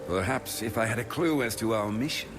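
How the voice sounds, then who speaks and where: Deep male warrior, played by an actor, suspicious, brooding, dramatic, lively intonation, fantasy video game, music behind. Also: a little fast, lightly acted. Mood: wary, warning. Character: wary, loyal male warrior, suspicious and plain-spoken.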